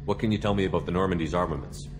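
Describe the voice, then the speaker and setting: An actor playing a steady human military commander in a video game, asking direct, calm, probing questions in a businesslike way. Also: male voice, sits low, rapid-fire, over music, close to an everyday voice. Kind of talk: video game dialogue acting.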